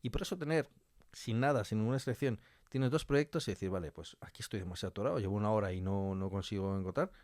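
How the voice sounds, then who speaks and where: Male, lowish voice, conversational interviewee who repeats for emphasis, dry studio recording. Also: fast talker, a little mellow, no echo. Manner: informal, joking, repeats himself for emphasis. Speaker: interview guest, audio fiction scriptwriter.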